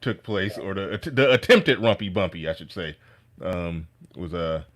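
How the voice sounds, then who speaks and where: A man with a fairly low voice rambles through a casual online chat, filling gaps with ums and uhs. He keeps circling back before finishing a thought. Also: lively intonation, moderate pace, a bit bright. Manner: rambling, many fillers.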